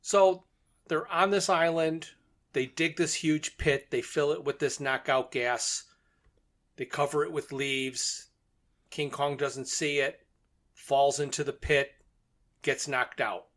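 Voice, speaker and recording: Male podcast co-host, slightly high, bright and forceful voice, casual storytelling recap with a joking touch. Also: steady tone, takes it slowly.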